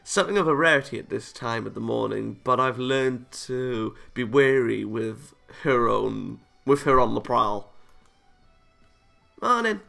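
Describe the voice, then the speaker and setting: A man's voice: medium pitch, moderate pace, vocal fry, hardly any pauses, fairly bright tone, faint music behind. A man playing a visual novel, reading the story aloud and adding casual reactions of his own. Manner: chuckles and comments between read lines. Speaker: video game let's player.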